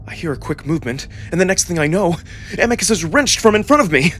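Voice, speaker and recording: A man giving a suspenseful, expressive first-person narration of a dramatic story. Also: high-pitched, fast, a little hiss or hum. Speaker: narrator and voice performer. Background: background music.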